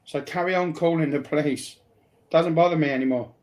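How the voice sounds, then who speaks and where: A man's voice: a little high, fairly quick, a little mellow. A man talking personally and bluntly, repeating phrases to drive his points home. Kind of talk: emphatic personal talk, partly confrontational.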